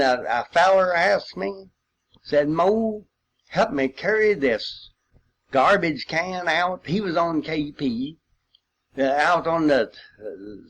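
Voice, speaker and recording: An older man from Missouri with a rural Missouri accent, reminiscing as a war veteran in a folksy, halting, rambling way. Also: a little high, fairly bright tone. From Missouri, United States. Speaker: World War II veteran storyteller.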